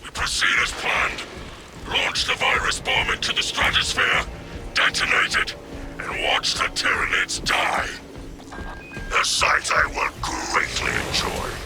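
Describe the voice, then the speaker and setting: An actor voicing a hardened, zealous space marine in a video game, speaking in terse military reports with a gravelly voice. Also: male voice, a little slow, loud music behind the voice, close to an everyday voice. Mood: stern, resolute. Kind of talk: cinematic video game voice acting.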